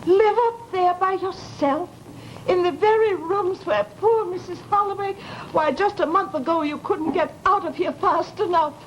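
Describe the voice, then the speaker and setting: Mournful, fluttery landlady: high voice, unhurried, folksy and sighing, on a hissy old radio recording. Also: highs cut off. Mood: dismayed. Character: fussy, sentimental landlady, mournful and easily flustered. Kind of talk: 1940s radio drama acting.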